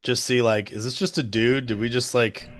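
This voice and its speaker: A man's voice: mid-range voice, steady tone, moderate pace. A man playing a tabletop role-playing game, talking casually and thinking aloud about his moves with a joking tone. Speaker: player.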